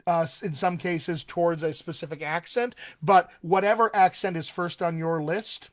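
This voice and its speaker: A man's voice: a little high, moderate pace. A man, a dialect coach, talking casually in a live stream, friendly and chatty with frequent ums and uhs. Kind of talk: live-streamed solo talk.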